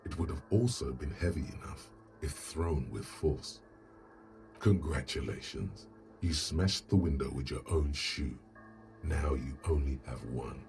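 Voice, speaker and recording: An actor voicing a grave, brooding inner voice that narrates a detective game in a deliberate, ominous, literary way. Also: male voice, very deep voice, expressive, creaky, darkish tone, soft background music, full character voice. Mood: wry.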